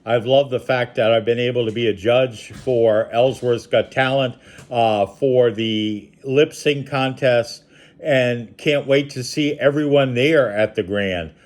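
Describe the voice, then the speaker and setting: A man from a media company giving a casual spoken testimonial, relaxed, with frequent filler words. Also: mid-range voice, unhurried.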